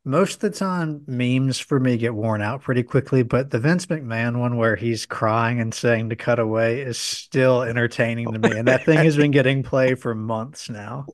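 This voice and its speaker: A man co-hosting a podcast who used to work in radio, speaking in a measured, plain way. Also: medium pitch, dry recording, studio quality. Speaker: podcast co-host, former radio host. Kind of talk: casual sports podcast chat.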